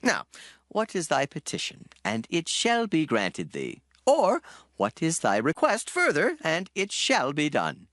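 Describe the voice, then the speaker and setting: A solemn, low-toned king. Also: wildly swooping pitch, a little slow, fairly bright tone, no room sound, clean studio sound, exaggerated voice. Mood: stately. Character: commanding adult male Persian king. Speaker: voice actor. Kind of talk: dramatized scripture reading.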